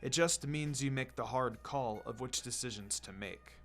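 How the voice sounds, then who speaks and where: A narrator voicing a calm, lazy male ninja teacher who instructs in a measured, low-key way. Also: faint music behind, natural voice. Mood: calm, instructive.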